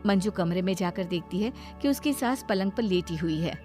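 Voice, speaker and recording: A woman tells a scripted bedtime story for a cartoon. Her voice is of average pitch for a woman, and faint music plays behind her narration. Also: lively intonation, moderate pace, darkish tone.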